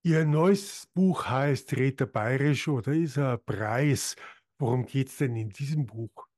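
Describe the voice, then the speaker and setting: A man's voice: medium pitch, takes it slowly, darkish tone. A man hosting a podcast, reading introductions and putting prepared questions to a guest. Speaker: podcast host, interviewer.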